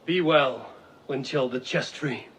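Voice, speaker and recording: An actor playing an unflappable Danish Viking raider in a video game, speaking in a dry, matter-of-fact way. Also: male voice, mid-range voice, takes it slowly, very noisy, lightly acted. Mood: wry. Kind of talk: video game dialogue acting.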